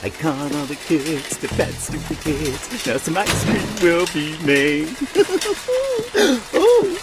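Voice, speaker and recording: Cartoony evil ice cream man, super slow n gloating, horror game, music blaring under it. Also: on the high side, sing-song. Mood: gleeful, gloating. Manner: slow, gloating, singsong taunting with drawn-out laughs. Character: gloating villainous ice cream man who cages children. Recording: loud music over the voice.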